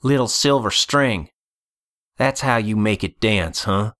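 A man's voice: lowish voice, takes it slowly, fairly bright tone, no echo, studio quality. A man plays a brash, foul-mouthed gunslinger in an audiobook story. He talks bluntly, with folksy phrasing and a demanding, challenging edge. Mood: taunting.

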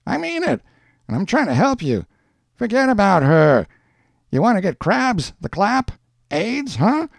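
A crude young working-class bully from a storybook, acted out by a male narrator. He jeers and taunts in combative street talk, his voice pushed higher and swinging widely. The sound is close and dry. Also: huge pitch swings, measured pace, creaky, no echo, exaggerated voice. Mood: mocking.

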